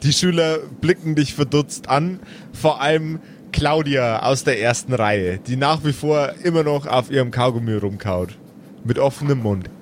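A man's voice: mid-range voice, normal speed, a little hiss or hum. A man narrating an improvised tabletop role-playing game as game master, with playful, theatrical phrasing.

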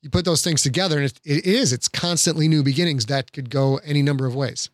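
A man's voice: a little high, quick pace, no echo. A man speaking as a podcast guest, giving thoughtful conversational commentary.

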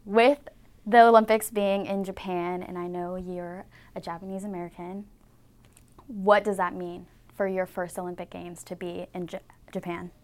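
A woman's voice: lowish voice, little pitch movement, normal speed. A young woman interviewing an athlete, enthusiastic and chatty, laughing easily. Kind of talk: upbeat interview questions.

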